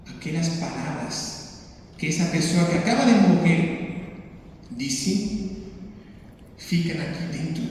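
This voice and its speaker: Male priest, native Spanish speaker with a Spanish accent, higher-pitched voice, sermon delivery in phrases, very echoey church. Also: a little mellow. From Spain. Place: large reverberant church interior. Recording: very echoey church, distant mic, faint noise.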